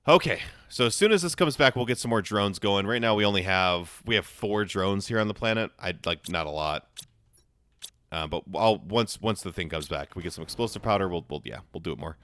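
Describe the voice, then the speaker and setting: A young man with a hoarse voice, chatting casually as he plays a game for his channel, rambling with frequent restarts. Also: low-pitched, expressive, fairly quick, fairly bright tone. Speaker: gaming channel host. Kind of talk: casual gameplay commentary.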